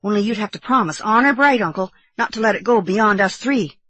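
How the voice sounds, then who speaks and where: A woman's voice: mid-range voice, expressive, a little fast, pushed and bright, pitched above the performer's own voice. A woman playing a grave, insistent young English boy with secrets, in a storybook reading. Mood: serious, eager.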